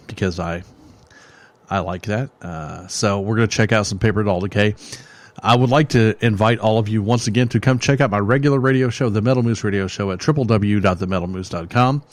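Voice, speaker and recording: A man with a fairly low voice hosts a metal radio show, chatting loosely about songs with plenty of ums and jokes. His voice is starting to wear out and go hoarse. The sound is dry and close, with no room around it. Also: darkish tone, dry recording. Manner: rambling, joking, many fillers and restarts. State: losing his voice. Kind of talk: casual music review chat.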